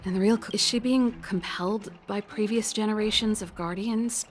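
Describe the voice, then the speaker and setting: A woman's voice: medium pitch, steady tone, moderate pace, close to an everyday voice. A woman voicing a wry, confident exiled researcher in a video game, speaking in an articulate, theatrical way. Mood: pensive, bitter. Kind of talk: video game voice acting, expressive dialogue. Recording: game music playing behind the voice.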